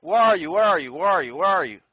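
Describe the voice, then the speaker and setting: A man's voice: sits high, lively intonation, fast talker, creaky, bright, forceful tone, dry recording, dull audio. A man casually commenting on his gameplay in a slangy, profane way, laughing often. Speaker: gameplay streamer.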